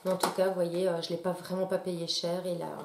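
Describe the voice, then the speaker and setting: A woman's voice: lowish voice, little pitch movement, fairly quick, gravelly, noisy background. A woman with a stuffy nose from a cold, chatting casually to her viewers with many fillers and restarts. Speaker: beauty YouTuber. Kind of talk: casual product haul talk to camera. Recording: echoey room.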